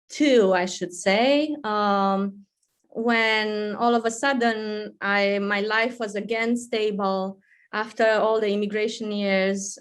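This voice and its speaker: A woman from Romania, a guest poet, telling her life story in a relaxed way with fillers. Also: mid-range voice.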